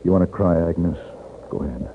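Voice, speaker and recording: Steady cowboy gunslinger, fifties radio western, noisy thin broadcast sound, firm but kind. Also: male voice, deep voice, fairly quick, narrow, tinny audio. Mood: grave, gentle. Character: steady western gunfighter, a grown man, firm but compassionate. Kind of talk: 1950s radio western acting.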